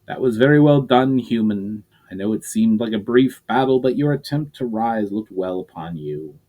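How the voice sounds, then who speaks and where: A man voicing a calm, wise nonhuman male mentor, in a measured, explanatory storybook reading voice. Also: on the low side. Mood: reassuring.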